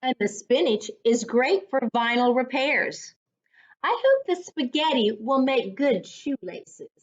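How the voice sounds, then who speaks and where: A woman reading a children's story aloud as a reading coach, with a deliberate, instructive, teacher-like delivery. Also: sits high, big pitch swings. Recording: dull lo-fi sound.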